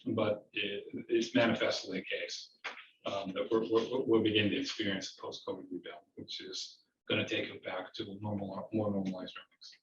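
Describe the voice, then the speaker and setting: A man speaking as a company executive on an investor earnings call, giving a semi-scripted presentation in a halting way with repeated words. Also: on the low side, level tone, a bit bright. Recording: roomy, distant, dull conference-call audio, faint noise.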